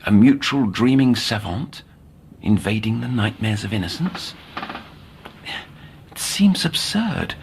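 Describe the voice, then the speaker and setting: A middle-aged doctor of the mind in an audio drama, with a low, gravelly voice. He is earnest and scholarly, choosing formal words haltingly, as if haunted by old failures. There is faint noise behind him. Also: lively intonation, exaggerated voice. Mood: brooding, intrigued.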